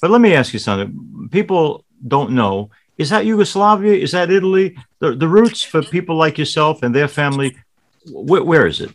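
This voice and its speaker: A priest hosting a television interview show, reading a scripted introduction and then asking easy conversational questions with the odd filler. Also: male voice, on the high side, animated, moderate pace.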